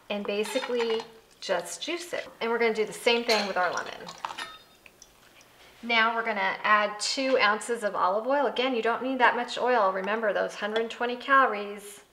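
A woman's voice: mid-range voice, sharp tone, slight background noise, poor audio. A woman in her thirties with an American accent, explaining a recipe step by step in a conversational way as a cooking show host. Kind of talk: instructional cooking demonstration. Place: home kitchen, indoors. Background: background music.

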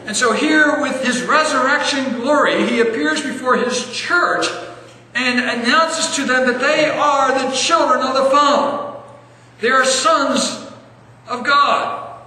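A man in his forties preaching an earnest sermon as pastor, slowing down to stress key phrases, recorded in a church sanctuary. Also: very high voice, pushed and bright. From American. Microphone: stand mic a step away, filmed from far camera. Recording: echoey church hall, distant mic.

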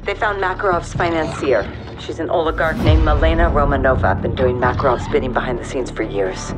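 A woman's voice: low voice, a little fast, close to an everyday voice. A woman acting a shrewd intelligence officer in a military game cutscene, giving clipped, matter-of-fact briefings. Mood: composed, shrewd. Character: shrewd, composed female intelligence officer running covert military operations. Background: loud dramatic music.

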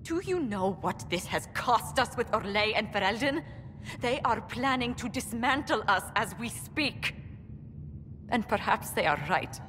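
A woman's voice: a little high, expressive, measured pace, fairly bright tone. An earnest female diplomat from a fantasy game, formal and growing indignant. A long hall reverb hangs on her voice, with orchestral music underneath. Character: anxious female diplomat, principled and frustrated.

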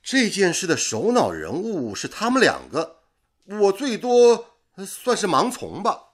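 A man's voice: sits high, huge pitch swings, measured pace, heavily acted. An actor playing a teasing, carefree young village-born man in a radio drama, speaking with playful exclamations. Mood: protesting. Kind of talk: Mandarin radio drama acting.

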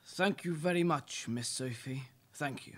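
A woman's voice: low-pitched, unhurried. A woman acting a deferential, formal male butler in an amateur radio play, repeating himself obligingly. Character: James, deferential elderly male English butler.

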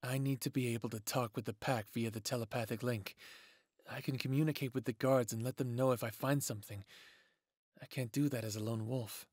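A man's voice: mid-range voice, steady tone, brisk, a little mellow, dry recording, studio quality, close to an everyday voice. A man playing an earnest lone male werewolf who longs for family, speaking sincerely in an audio drama.

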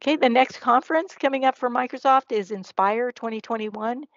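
A woman's voice: on the high side, a little fast, dry recording. A woman presenter giving a slide presentation and answering questions over a video call. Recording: slightly dull online meeting audio.

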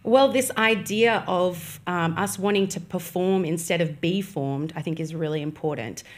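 A young woman answering interview questions in a studio, measured and explanatory with frequent pauses. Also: lowish voice. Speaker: guest on church music. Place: studio set with armchairs. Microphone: boom-mounted podcast mic.

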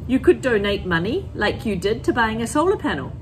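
A middle-aged woman speaks like a primary teacher reading to her class. Her voice is fairly high and swings expressively, with slow, deliberate phrasing aimed at children. It is recorded in a classroom with some background noise. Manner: expressive, deliberate phrasing, prompts listeners with questions. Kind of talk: picture-book read-aloud and talk to children. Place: empty school classroom, indoors.

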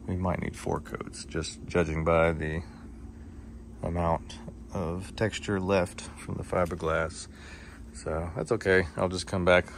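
A man casually talking through his boatbuilding project in a home garage workshop, relaxed and easygoing, recorded on a handheld phone. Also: low voice, vocal fry, a little hiss or hum. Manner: relaxed, easygoing, thinking aloud.

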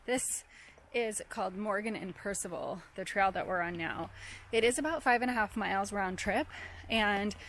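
A woman's voice: mid-range voice, moderate pace, fairly bright tone, a little hiss or hum. A young woman from New Hampshire talking casually to her viewers while walking a forest mountain trail, recorded on a small handheld camera mic outdoors. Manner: chatty, upbeat, addresses viewers directly. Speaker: hiking vlogger. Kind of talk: casual vlog narration to camera.

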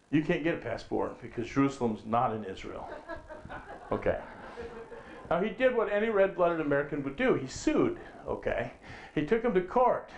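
Middle-aged guy preaching, gravelly kinda higher voice, loud and punchy, echoey hall. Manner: emphatic, rising to near-shouts when quoting scripture, rhetorical questions. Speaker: conference speaker. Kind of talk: preaching at a conference. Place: stage with a lectern in a hall.

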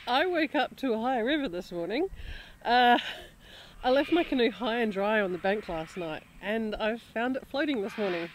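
A woman's voice: a little high, expressive, moderate pace, rough recording. A middle-aged Australian woman chatting casually and cheerfully as she narrates her outdoor vlog. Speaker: solo canoe expedition vlogger. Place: outdoors on a river and riverside campsite. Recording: outdoor camera audio with clear background noise.

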